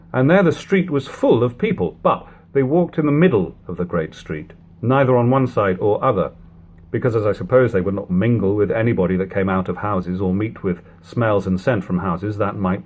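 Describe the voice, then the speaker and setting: A man reading an old literary book aloud in a measured, phrase-by-phrase narration, on a lo-fi home recording. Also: lowish voice, expressive, a little mellow. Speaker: audiobook reader. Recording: lo-fi home recording, background hum, noise.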